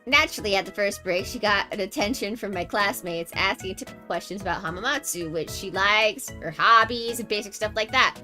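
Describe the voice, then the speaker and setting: A woman livestreamer reading game narration aloud with casual, animated, jokey commentary. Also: medium pitch, a little fast, hardly any pauses, soft background music. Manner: animated, reactive, jokey exclamations.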